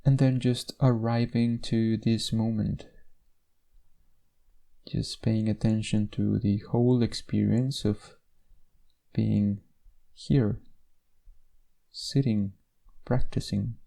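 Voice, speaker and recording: A man leading a guided meditation in a measured, instructional way, with gentle pauses between phrases. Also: lowish voice, fairly even intonation, gravelly.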